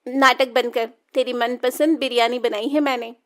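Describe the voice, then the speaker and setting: Woman as exasperated cartoon mother, high voice. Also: fairly even intonation, normal speed, natural voice. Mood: fond chiding. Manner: chiding, fond. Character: exasperated but affectionate mother of a demanding daughter. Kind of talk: children's cartoon story acting.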